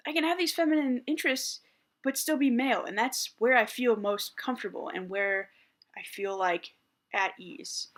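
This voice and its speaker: A man in his thirties with a higher voice, talking candidly in a reflective personal vlog and often restarting his sentences as he thinks aloud. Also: moderate pace, a bit bright. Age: 30s. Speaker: vlogger.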